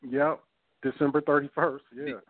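A man's voice: fairly high pitch, little pitch movement, moderate pace, dry recording. A man in his thirties co-hosting a podcast in loose, rambling casual talk, swearing freely and restarting his sentences. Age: 30s. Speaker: podcast co-host. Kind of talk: casual boxing chat. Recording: tinny phone-line sound.

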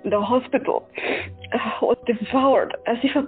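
A woman's voice: a little high, unhurried, close to an everyday voice. A woman voicing a grieving, devoted female doctor in an audio drama, speaking in a trembling, tearful way. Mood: earnest. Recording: thin phone-like sound with faint music.

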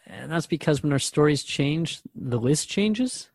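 A man's voice: a little high, animated, measured pace, gravelly, no echo, studio quality. A man acting as a radio host in a drama, asking questions in an earnest, interviewing manner.

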